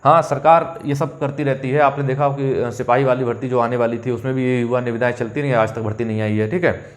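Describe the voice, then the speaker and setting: A man's voice: medium pitch, steady tone, brisk. A man speaks as a coaching teacher, informally explaining an exam update and addressing students directly with frequent check-ins. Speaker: coaching teacher and channel host.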